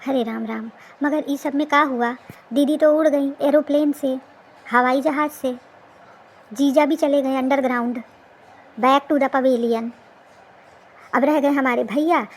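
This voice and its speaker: High-pitched woman, teasing gossip commentary. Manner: colloquial, teasing, exclamatory with rhetorical questions. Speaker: reaction video host. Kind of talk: casual gossip commentary on a social media dispute.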